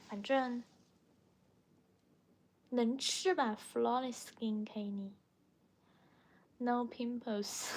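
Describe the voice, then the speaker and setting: Casting: young female livestream host, native Mandarin speaker with Chinese accent, somewhat high pitch, slow informal delivery. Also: vocal fry. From Chongqing, China. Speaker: livestream host, language teacher. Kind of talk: casual livestream chat, replying to viewers.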